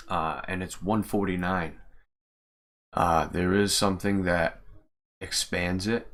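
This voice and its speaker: Male streamer, informal and muttering, deep voice, unhurried casual pace. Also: fairly bright tone. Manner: informal, muttering steps to himself. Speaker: streamer and mod maker. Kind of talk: casual livestream chat while editing.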